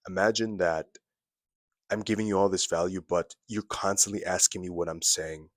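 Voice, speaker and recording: A young man with a deep voice giving casual self-improvement advice to the camera, repeating phrases for emphasis. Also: steady tone, normal speed, no room sound, studio quality.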